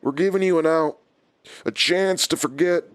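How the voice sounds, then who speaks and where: An actor playing a calm, menacing inhuman villain in an audio drama, with a deliberate, taunting manner. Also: male voice, on the high side, clean tone, no echo, lightly acted. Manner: slow, deliberate, controlled, taunting.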